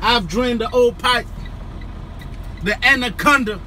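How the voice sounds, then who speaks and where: A man's voice: very high-pitched, a little slow. A young man chats casually to his vlog viewers as a trucker, with slangy, playful swagger. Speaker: trucker vlogger. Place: truck cab and roadside, driving on a highway. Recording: loud vehicle and road noise.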